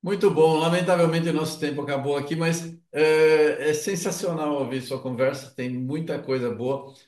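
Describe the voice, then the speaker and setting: A man's voice: a little high, steady tone, normal speed, a little mellow, far from the mic. Brazilian male interviewer in an echoey room. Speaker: interview host. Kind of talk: casual interview chat. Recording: roomy echo, away from the mic.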